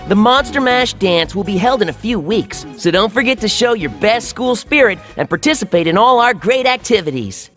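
A man's voice: high voice, animated, moderate pace, hardly any pauses, fairly bright tone, faint music behind. A man voicing a keen young wizard class president, giving a peppy speech in a children's cartoon. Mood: enthusiastic. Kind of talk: animated children's story dialogue.

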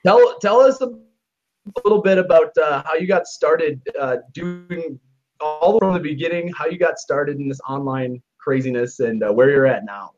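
A man's voice: fairly high pitch, moderate pace, highs cut off, faint music behind. A man hosting a live interview over a video call, easygoing and filling his questions with "uh". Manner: easygoing, hesitant "uh" fillers, frequent backchannel. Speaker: host.

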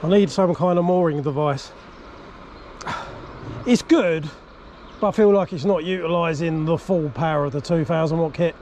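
A man in his forties, an excitable vlogger talking on a river while riding. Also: a little high. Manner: excitable, laughing, thinking aloud. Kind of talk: excited outdoor commentary. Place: outdoors on a river. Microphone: action camera. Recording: loud outdoor noise. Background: rushing water and outdoor noise.

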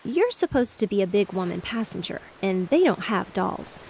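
A woman reading aloud, voicing an excitable, bossy young boy who plays ship captain. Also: medium pitch, moderate pace, creaky, a little hiss or hum. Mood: eager. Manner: eager and insistent.

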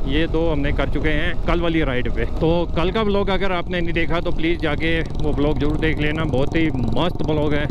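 Chatty male rider outdoors, wind noise. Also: on the high side. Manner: chatty, excited, points out views. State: short of breath at altitude. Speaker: motorcycle vlogger. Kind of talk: casual travel vlog narration. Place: outdoors on a mountain road. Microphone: helmet or body camera while riding. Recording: loud wind and engine noise.

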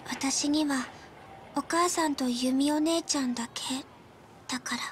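Earnest, high-voiced little girl. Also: unhurried, noisy background. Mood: subdued. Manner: childlike, soft, earnest. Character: earnest, polite little girl, lonely but determined. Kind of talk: Japanese video game drama acting.

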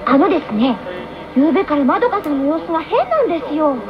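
A woman's voice: sits high, big pitch swings, fast. An actress playing a perky, gushing, lovestruck teenage girl in a radio drama. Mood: worried. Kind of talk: 1990s radio drama acting. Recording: muffled AM radio broadcast, loud music behind.

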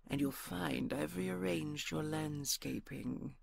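A woman playing an imperious red dragon queen in an audio roleplay, measured and regal, with dry mockery and menace. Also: low-pitched, no room sound, heavily acted. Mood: menacing, amused. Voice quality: gravelly, husky.

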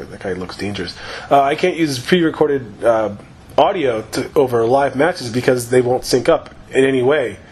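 A man giving loose, profane, rambling commentary over his own gameplay, his voice slightly hoarse after a long session. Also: mid-range voice, a little hiss or hum. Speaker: gaming YouTuber.

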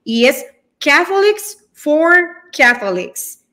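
A woman's voice: high voice, sing-song, slow pace, sharp tone. A woman from El Salvador whose Spanish carries a Mexican-sounding accent speaks earnestly and with fervor over a laptop video call. Her talk is full of fillers and restarts, and she is recorded in a dim room at home. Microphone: video call laptop mic.

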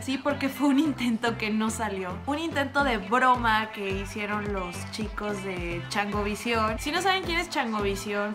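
A young woman chatting casually and expressively to camera in a room at home, giving reaction commentary. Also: mid-range voice. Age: young adult. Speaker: video creator reacting to a prank call.

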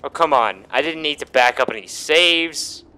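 A man's voice: on the high side, moderate pace, pushed and bright, clear, resonant tone. A man giving casual, sweary, exclamatory gameplay commentary as he hosts a gaming video, often talking himself up. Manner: sweary, self-congratulating, exclamatory.

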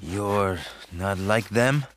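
An actor playing a wry, laid-back male captive stranger in a video game cutscene, talking in an unhurried way. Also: on the low side. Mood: wry, groggy. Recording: very noisy backdrop with game ambience.

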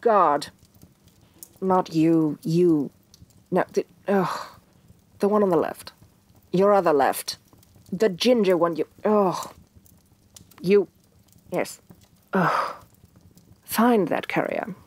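A woman playing a haughty, domineering evil sorceress queen, soft-spoken and imperious in a fantasy ASMR roleplay. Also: on the low side, takes it slowly, pauses often, dry recording. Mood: exasperated, commanding. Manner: imperious, condescending, theatrically disdainful.

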